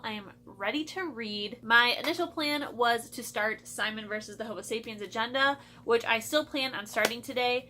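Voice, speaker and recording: A young woman with a bright, somewhat high voice chats casually through her reading vlog. Her nose sounds a bit stuffed up, and she leans on fillers like "like" and "I feel like". A faint hum sits under the recording. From Michigan, USA. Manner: chatty, upbeat, lots of fillers like "like" and "I feel like". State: stuffy, congested. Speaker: book vlogger.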